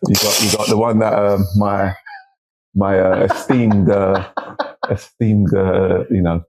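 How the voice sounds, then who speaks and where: A man in his forties with an East London accent, a counsellor chatting as a podcast guest in a relaxed, rambling way. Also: lowish voice, takes it slowly, vocal fry, low-quality recording. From East London, England. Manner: relaxed, rambling with restarts and frequent agreement. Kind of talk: casual interview chat.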